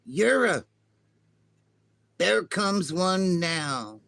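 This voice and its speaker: A man voicing a short, bumbling male alien who talks in a high, excitable, folksy way. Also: drawn-out words, creaky, dry recording, heavily acted. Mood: scheming.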